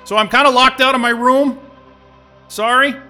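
A man's voice: extremely high pitch, fairly even intonation, moderate pace, sharp tone, soft background music, exaggerated voice. A man acting in an audio drama as a confused, impatient interview candidate who rambles and hesitates in a casual way. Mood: exasperated, calling out.

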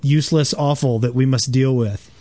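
A man's voice: medium pitch, steady tone, normal speed, dark tone, slight background noise. A man co-hosting a podcast with casual comic banter, repeating phrases for emphasis. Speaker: podcast co-host. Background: music.

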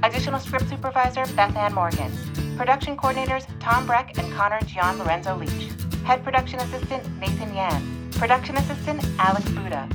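A woman's voice: fairly high pitch, animated, fairly quick, loud music behind the voice. A woman announcer giving a scripted, orderly read of production credits. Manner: clear, orderly list reading.

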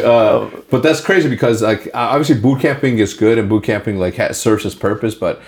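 A man hosting a podcast interview, energetic and rambling, restarting his sentences often. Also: lowish voice, fairly quick, a little hiss or hum. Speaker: podcast host.

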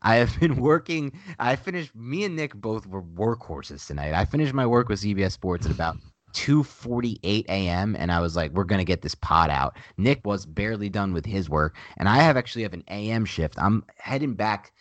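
A man with a nasal voice pitched very low co-hosts a casual sports podcast. He presses his points hard and repeats them for emphasis. His voice is recorded close and dry on a podcast mic. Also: lively intonation, normal speed, no room sound. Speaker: podcast co-host.

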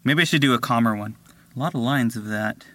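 A man's voice: mid-range voice, brisk, bright, forceful tone. Man muttering through recording outtakes. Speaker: voice actor.

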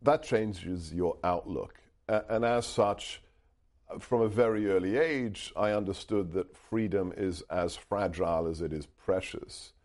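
A man's voice: deep voice, measured pace, a little mellow. A middle-aged man raised in the UK, a Hungarian speaker, earnestly telling a story as a guest and restarting his phrases often. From United Kingdom. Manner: earnest, emphatic, with frequent restarts. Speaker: author and guest. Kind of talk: interview answers, storytelling.

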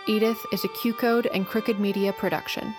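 A woman reads scripted credits as an announcer. Her pitch is middling, and music plays softly behind her. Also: steady tone, a little fast.

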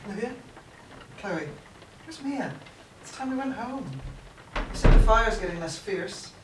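Echoey, anxious medium-pitched woman played by an actress. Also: expressive, measured pace, natural voice. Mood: worried. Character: anxious, disapproving colleague at an institute. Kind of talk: audio play acting. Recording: echoey, distant, noisy.

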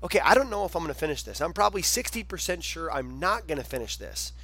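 A man's voice: fairly high pitch, very animated, fast talker, fairly bright tone, husky. A man hosting a podcast, enthusiastic and emphatic as he reacts to his guest and asks questions. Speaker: podcast host. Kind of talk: casual interview talk.

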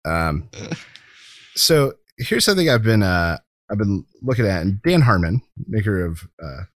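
A man's voice: fairly low pitch, very animated, a little slow, a bit bright, dry recording. A man hosting a podcast, chatting casually about films and leaning on key words in an informal way. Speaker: podcast host. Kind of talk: casual film discussion.

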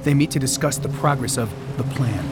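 Male, actor, obsessive conspiracy believer for audio drama. Earnest, insistent, mid-pitched, loud music underneath. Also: brisk, natural voice. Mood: conspiratorial.

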